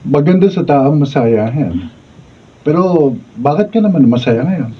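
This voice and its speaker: A man's voice: fairly high pitch, very animated, unhurried, breathy edge, heavily acted. A man playing a smitten, hesitant professor in his late thirties in a Filipino radio drama. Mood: gentle, amused. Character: smitten, hesitant thirty-eight-year-old male professor. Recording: muffled, distant mic, faint noise.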